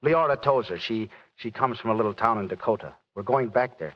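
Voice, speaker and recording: A man's voice: fairly low pitch, a little fast, lightly acted. A man playing an earnest young country doctor. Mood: tender. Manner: earnest, emotional delivery. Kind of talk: 1940s radio acting. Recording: dull old radio recording.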